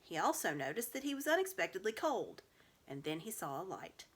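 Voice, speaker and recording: A woman reading a children's story aloud in an expressive narrating style. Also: lowish voice, a little fast, slightly rough. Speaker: storybook reader.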